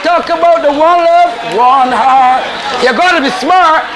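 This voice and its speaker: High-voiced Barbadian man chanting slogans. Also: a little slow, crowd noise. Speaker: interviewee. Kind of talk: street interview.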